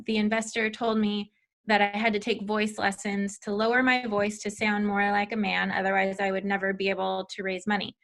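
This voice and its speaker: Candid woman with a rather high voice. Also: a bit bright. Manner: candid, filler-heavy speech. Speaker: company founder. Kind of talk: personal talk to an audience.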